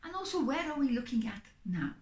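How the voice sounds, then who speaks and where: A middle-aged woman speaks to camera in an echoey room. Her voice sits high and swings widely up and down. She chats in a theatrical, expressive way, like an entertainer hosting her own show. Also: fairly quick. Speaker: singing psychic performer and host. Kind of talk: theatrical chatty vlog to camera. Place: small indoor room, seated on a sofa.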